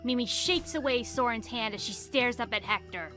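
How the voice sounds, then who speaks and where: A woman's voice: fairly high pitch, normal speed, pushed and bright. A woman narrating a spooky fantasy audio drama as a dramatic, scripted storyteller. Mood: dramatic storytelling. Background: music behind the voice.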